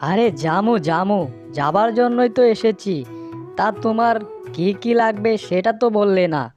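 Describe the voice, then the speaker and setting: A woman's voice: medium pitch, normal speed, darkish tone, lo-fi sound, faint music behind, close to an everyday voice. A woman voicing a wily, fawning male fox who sells cattle in a village cartoon. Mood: reassuring. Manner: fawning, sly, evasive. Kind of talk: cartoon dialogue.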